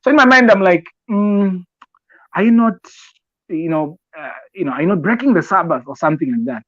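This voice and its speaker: A man with a Zambian accent and a slightly high voice talks in a conversational, advisory way. He explains deliberately and haltingly, repeating phrases as he goes. The recording is dry and a little dull. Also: lively intonation, fairly bright tone, dry recording. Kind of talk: conversational advice talk with personal examples.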